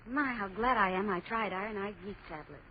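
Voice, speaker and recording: A woman's voice: medium pitch, a little fast, natural voice. An actress playing a chatty, earnest American woman in a 1940s radio advertisement. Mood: delighted. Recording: dull old radio broadcast.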